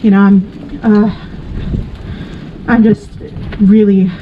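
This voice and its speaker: A young woman giving an earnest, halting tribute at an outdoor memorial by a lake. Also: mid-range voice, flat delivery. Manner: earnest, halting, filler-heavy. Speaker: vigil attendee. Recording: dull audio with wind and boat noise.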